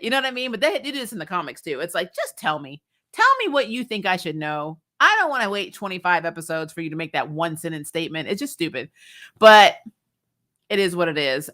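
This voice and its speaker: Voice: mid-range voice, bright, forceful tone. A middle-aged host chatting casually and bluntly through a TV review, often exasperated and swearing freely. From grew up on military bases. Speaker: host of a TV recap show. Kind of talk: casual opinionated review chat.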